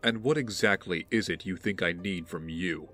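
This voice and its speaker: An actor playing a guarded young wealthy heir in an audio drama, brooding and wary, with a measured, terse delivery. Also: male voice, low-pitched, fairly bright tone, faint music behind. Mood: wary, dry.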